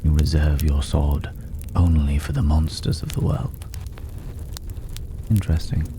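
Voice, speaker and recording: Man playing a very deep, teasing mysterious drifter. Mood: probing, teasing. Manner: unhurried, wry, condescending, with drawn-out pauses. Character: regal, teasing male drifter, secretly a dragon. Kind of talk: intimate ASMR roleplay acting. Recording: clearly audible background noise.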